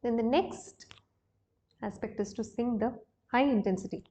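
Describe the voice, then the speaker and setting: A woman's voice: fairly high pitch, moderate pace, warm tone. A woman with an Indian accent teaching a singing lesson, explaining exercises step by step. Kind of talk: instructional lesson with sung demonstrations.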